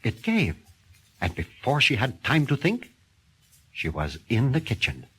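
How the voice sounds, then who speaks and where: A man's voice: mid-range voice, wildly swooping pitch, a little slow, a bit bright, husky. A man narrating a horror story in a theatrical, suspenseful reading style.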